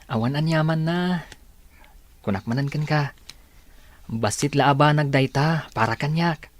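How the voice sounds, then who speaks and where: A man's voice: fairly high pitch, unhurried, dry recording. An actor with a Filipino accent playing a kind, devoted, teasing man in a radio drama. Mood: tender, teasing. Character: kind, devoted man, helpful older brother, teasing suitor.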